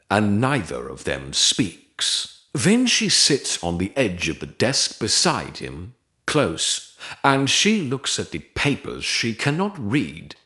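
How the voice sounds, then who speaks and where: A man reads a dramatic romance story in a fairly low voice. His delivery is slow and measured, with intonation that swings widely across short, deliberate phrases. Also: a bit bright. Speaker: story narrator. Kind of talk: scripted dramatic romance narration.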